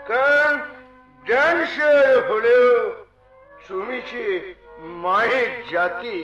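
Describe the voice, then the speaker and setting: A man playing a tormented but honourable drinker in melodramatic folk theatre, with drawn-out declamation. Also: very high-pitched, animated, sharp tone, highs cut off, exaggerated voice. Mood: anguished, reverent. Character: tormented, honourable drunkard, reverent toward women. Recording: echoey stage-drama recording. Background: music.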